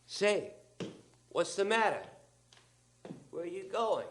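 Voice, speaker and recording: A man acting a feverishly excited, scheming artilleryman in a dramatic radio play, swinging from hushed to loud. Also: high-pitched, slow pace, a little hiss or hum. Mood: conspiratorial. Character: fanatical scheming artilleryman survivor, hungry for power, feverishly excited.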